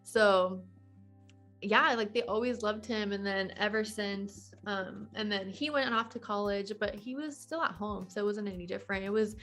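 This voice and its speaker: A woman's voice: medium pitch, moderate pace, fairly bright tone, soft background music. A woman in her twenties talking casually as a podcast guest, in a chatty, informal way peppered with "like". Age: 20s. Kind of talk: casual conversational interview.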